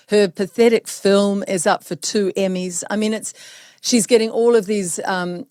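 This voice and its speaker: A woman's voice: mid-range voice, a little mellow, dry recording, studio quality. Emphatic New woman host. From New Zealand. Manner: emphatic, indignant. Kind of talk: opinionated interview talk.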